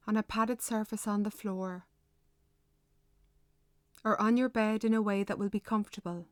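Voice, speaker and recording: A woman guides a meditation in a fairly high voice. Her delivery is unhurried and measured, giving gentle instructions phrase by phrase. The sound is dry, with no room echo.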